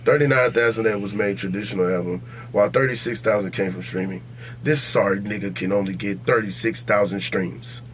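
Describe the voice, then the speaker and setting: A man's voice: lowish voice, fairly even intonation, a little fast, fairly bright tone. An American man co-hosting a podcast, debating rap in a blunt, slangy, profane way and often repeating himself to push his point. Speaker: podcast co-host. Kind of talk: heated casual debate about rap music. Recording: noisy podcast audio with hum.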